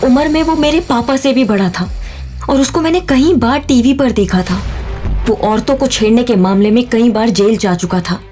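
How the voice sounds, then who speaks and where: A woman's voice: a little high, normal speed, darkish tone, soft background music, lightly acted. A woman playing a defiant young mother who tells and acts her own story in an emotional audio drama style. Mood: grave narration. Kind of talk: first-person audio drama narration and acting.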